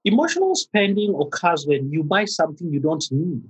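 A middle-aged man with a Kenyan accent, a therapist speaking as a podcast guest, pausing often with fillers and restarts. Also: on the high side, wildly swooping pitch, breathy edge, poor audio. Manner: halting, many fillers and restarts. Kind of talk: conversational interview.

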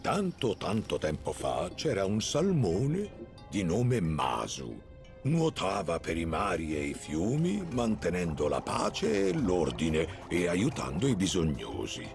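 A wise old cartoon bear, tells forest legends. His voice is very low and gravelly, swinging widely as he muses and narrates, with music underneath. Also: exaggerated voice. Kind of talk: animated cartoon dubbing, storytelling.

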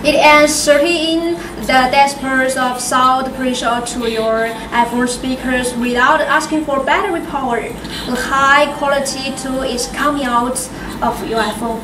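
Higher-voiced woman demonstrating, distant and noisy. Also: steady tone, measured pace. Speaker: product demonstrator. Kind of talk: product demo presentation. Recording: roomy, distant mic, clear background noise.

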